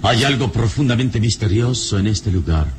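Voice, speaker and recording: An actor playing a wise, calm, heroic adventurer in a solemn, measured, theatrical radio-serial style. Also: male voice, fairly low pitch, noisy background, natural voice. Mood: grave, pondering. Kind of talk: old radio serial acting.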